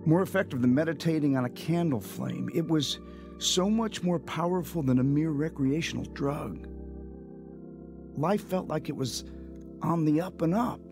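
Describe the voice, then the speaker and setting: A man's voice: a little high, moderate pace, close to an everyday voice. Casting: male actor as restless young American husband and dealer, earnest audio-drama delivery, music underneath. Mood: reflective. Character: young drug-dealing husband and new father, restless, self-centred. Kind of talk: audio drama acting with first-person narration. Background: background music.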